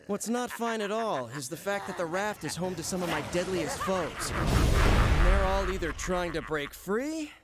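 A young masked hero in a video game. His voice sits high for a man, with a quippy, earnest, upbeat delivery. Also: expressive, a little slow, heavy background noise. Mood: wry concern. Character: wisecracking, earnest young male masked superhero. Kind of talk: video game cutscene acting.

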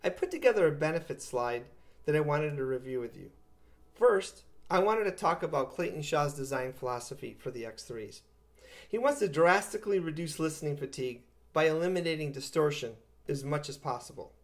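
A man in his fifties with a slightly high-pitched voice talks through an equipment review. He explains technical points step by step and reaches for plain, everyday comparisons. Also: expressive, a little fast. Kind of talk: product review talk to camera. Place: living room with acoustic panels, indoors.

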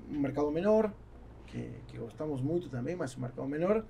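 Man, middle-aged, South American Spanish accent, native Spanish, higher voice, dark tone, halting casual guest talk. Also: expressive, creaky, slight background noise. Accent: Spanish-speaking South American. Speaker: guest, international entrepreneur. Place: small studio with acoustic foam, indoors. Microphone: podcast microphone on boom arm.

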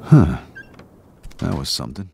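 An actor playing a tough, understated young gangster in a video game, speaking tersely. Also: male voice, rumbling low, big pitch swings, unhurried, vocal fry, mellow, slight background noise, heavily acted. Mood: reserved, polite. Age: young adult. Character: tough, laconic young male gangster and war veteran. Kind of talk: video game cutscene acting.

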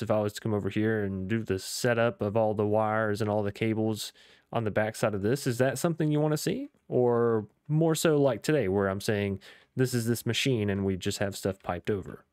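A man's voice: on the low side, darkish tone, dry recording, studio quality. A young man with a slightly worn voice, chatting casually and upbeat while he plays, rambling with fillers as he goes. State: losing his voice. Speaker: gaming YouTuber. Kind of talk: casual gameplay commentary.

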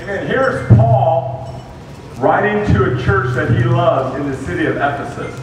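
A man preaching in short, measured phrases that pause for an interpreter. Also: on the high side, expressive. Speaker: guest preacher. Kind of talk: sermon with live interpretation. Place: large dim auditorium. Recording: echoey hall from far off, noisy.

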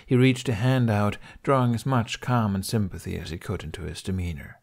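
A man's voice: on the low side, fairly quick, vocal fry, a little mellow. A man reading a scripted story aloud as an audiobook narrator.

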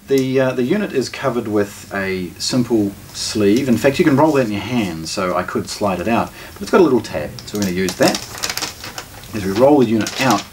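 A middle-aged man, giving an unscripted product unboxing commentary as a presenter. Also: fairly low pitch. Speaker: shop presenter, product reviewer. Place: small indoor listening room with shelves. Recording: distant camera mic, background noise, clicks.

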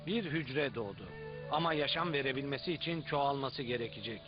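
A man's voice: medium pitch, a little fast, lightly acted. A man playing the patient, explanatory storyteller-narrator of an educational cartoon. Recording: muffled audio with music behind.

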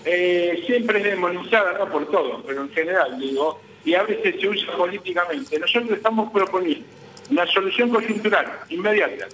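High, bright-voiced Argentine man, insistent. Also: a little fast, roomy sound, a little hiss or hum, low-quality recording. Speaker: guest, transport business association vice president. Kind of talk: live news interview by phone.

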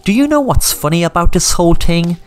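A woman's voice: on the low side, expressive, brisk, smooth, faint music behind, voice pushed up, close to an everyday voice. A woman voicing a shrewd, commanding male sheriff who talks to his men in a knowing, fatherly way. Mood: probing.

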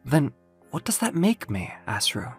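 A man's voice: fairly high pitch, wildly swooping pitch, unhurried, breathy edge, soft background music, pitched above the performer's own voice, heavily acted. A man voicing a frightened, exhausted young man who pleads for an honest answer. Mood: pleading. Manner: anxious, pleading. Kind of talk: audio comic book acting.

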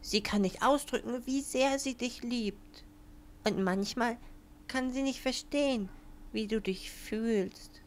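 A woman voicing a gentle toy lion who consoles a child in a reassuring way, in an animated short film. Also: on the high side, slow, a little hiss or hum. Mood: gentle, consoling.

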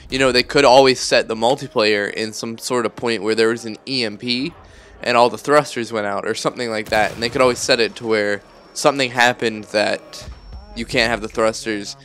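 A young man with a bright, somewhat low voice talks over game footage, sounding sick and groggy. He rambles and corrects himself mid-sentence in a chatty, loose way, with faint music underneath. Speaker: gaming YouTuber. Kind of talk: casual commentary over gameplay.

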